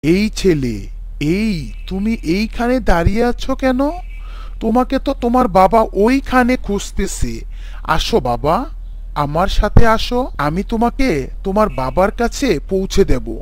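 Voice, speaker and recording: A man's voice: high-pitched, animated, a little slow. A man voicing a sly male stranger who sweet-talks a boy into following him. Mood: coaxing. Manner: coaxing, sweet-talking.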